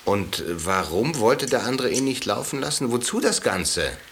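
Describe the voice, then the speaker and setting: A man's voice: medium pitch, sing-song, moderate pace, a bit bright, slight background noise. An actor playing an ailing, fed-up stranded man in a radio drama, dry, grumbling and sarcastic. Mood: dry, skeptical.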